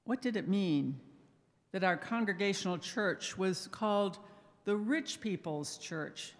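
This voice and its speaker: An older woman speaking softly and thoughtfully to a congregation, sharing memories in a measured way as a lay speaker. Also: mid-range voice, lively intonation. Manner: soft-spoken, measured, reminiscing. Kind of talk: reflective personal talk to a congregation. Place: church sanctuary. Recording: echoey church hall reverb.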